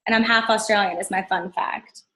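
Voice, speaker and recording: A hoarse young woman from North Carolina, a graduate student introducing herself to her class over a video call, chatty and laughing between points. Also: mid-range voice, steady tone, a little fast, a bit bright. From North Carolina, USA.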